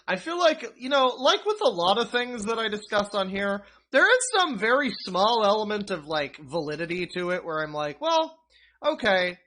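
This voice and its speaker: A man's voice: high-pitched, lively intonation, moderate pace, few pauses, pushed and bright. A man streaming, rambling and mocking a video in casual commentary.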